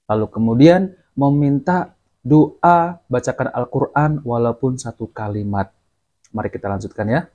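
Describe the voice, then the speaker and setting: Mellow-voiced man, religious commentary, dull recording. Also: medium pitch, a little slow. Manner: didactic, repeats and explains clips. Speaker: channel host and commentator. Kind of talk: religious commentary to camera, addressing viewers.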